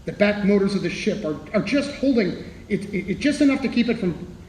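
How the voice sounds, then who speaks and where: A man's voice: high-pitched, expressive, a little fast, darkish tone. A man playing a distraught radio reporter at a disaster, stammering and repeating words as they report live. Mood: horrified, urgent. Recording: echoey hall with long reverb.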